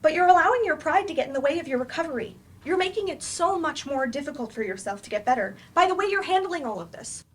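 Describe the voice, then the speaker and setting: A woman plays a frank, exasperated female therapist in a staged drama. Her voice is high and very lively in pitch, with a pointed, challenging delivery. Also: fast talker, hardly any pauses. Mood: firm, frustrated. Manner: pointed, confrontational. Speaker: stage actor in a staged play reading. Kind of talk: staged play reading, dramatic dialogue. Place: library staged reading.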